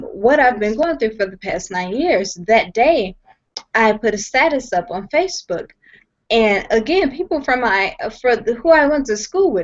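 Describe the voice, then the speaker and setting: A young woman at home on a video call, telling her story in an earnest, emphatic way, often repeating herself and restarting sentences. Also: on the high side. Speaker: guest sharing her story. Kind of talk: personal testimony on a video call. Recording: dull lo-fi video call audio.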